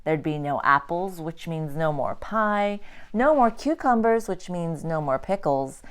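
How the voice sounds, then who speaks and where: A woman with a low voice reads a children's storybook aloud at a slow pace. She phrases each line deliberately and expressively, the way a teacher does for young listeners. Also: expressive, smooth. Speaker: teacher reading a picture book aloud.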